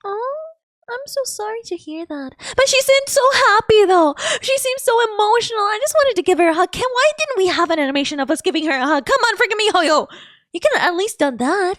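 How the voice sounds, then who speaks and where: A woman's voice: very high voice, very animated, fairly quick, a bit bright, no room sound, studio quality. A woman game streamer giving live reaction commentary in a gushing, exclamatory, emotionally invested way. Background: game music.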